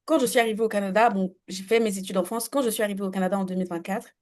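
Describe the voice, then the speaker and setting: A young woman interviewer chatting casually and informally, sharing her experience in an animated way. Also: medium pitch, rapid-fire.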